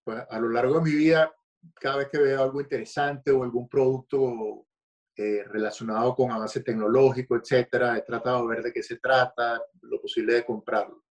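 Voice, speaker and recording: Relaxed Venezuelan man, hesitant talk. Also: medium pitch, normal speed, dull audio. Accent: Venezuelan Spanish. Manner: relaxed, hesitant, with many fillers and restarts. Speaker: guest author. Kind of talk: podcast interview. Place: home.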